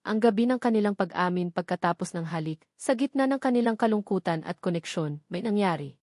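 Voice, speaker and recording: A woman narrates a dramatic story in a scripted audiobook style. Her voice sits at a medium pitch, and the sound is very dry, with little room in it. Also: darkish tone, no room sound.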